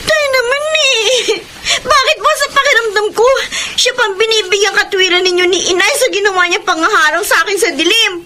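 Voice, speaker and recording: Frightened young daughter, very high voice, tearful, defiant, radio drama, noisy recording. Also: female voice, fairly quick, few pauses, sharp tone. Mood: fearful, indignant. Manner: tearful, shrill, emotional protesting. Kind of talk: Filipino radio drama acting.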